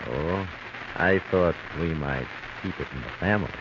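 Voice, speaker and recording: An actor playing a scheming, pushy middle-aged American swindler in a 1940s radio drama. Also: male voice, rumbling low, normal speed. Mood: probing. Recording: hissy, muffled vintage radio recording.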